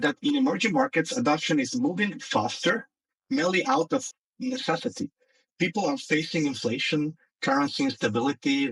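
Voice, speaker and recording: A man with a gravelly edge to a fairly high voice speaks as a company CEO on a panel. His pitch swings widely while he talks, and he repeats words as he gets going. The voice comes through a remote call and sounds set back from the mic. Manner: animated, repeats words when starting sentences. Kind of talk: panel discussion on an audio space. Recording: distant online audio call.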